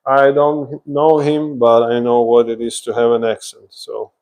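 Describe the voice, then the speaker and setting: Slow-talking man with a Ukrainian accent. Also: mid-range voice, flat delivery, a little mellow, rough recording. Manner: explains while working, pausing as he handles tools. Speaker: drywall installer, live stream host. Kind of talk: casual live work commentary. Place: laundry room under renovation, indoors.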